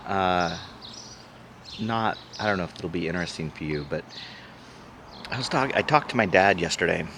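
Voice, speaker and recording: Male vlogger, very deep with a fry-heavy rasp, talks things through loosely and gets firm on opinions; breezy rural outdoor recording with birdsong. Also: normal speed. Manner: rambling, thinking aloud; strident when arguing a point. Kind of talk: casual morning vlog chat. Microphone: handheld camera held close. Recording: outdoor wind and birdsong behind.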